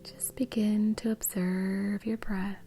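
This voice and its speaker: Slow, soft breathy woman guiding meditation. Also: medium pitch, little pitch movement, soft background music. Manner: soft, breathy, soothing delivery. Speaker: meditation guide. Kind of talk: guided meditation.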